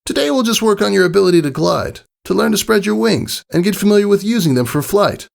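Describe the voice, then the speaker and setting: A man's voice: high-pitched, lively intonation, quick pace, gravelly, voice pushed up, exaggerated voice. A man voicing a brash, confident female pegasus pony coach from a cartoon fan story, bossy but encouraging. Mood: bossy, instructive. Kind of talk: cartoon fan fiction character voice.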